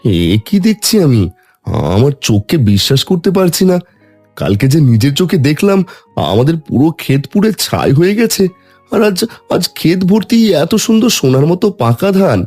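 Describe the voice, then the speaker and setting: A man playing a gentle, devout farmer father in a cartoon, speaking expressively and affectionately. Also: fairly high pitch, big pitch swings, normal speed, faint music behind. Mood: astonished. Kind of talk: Bengali fairy-tale cartoon acting.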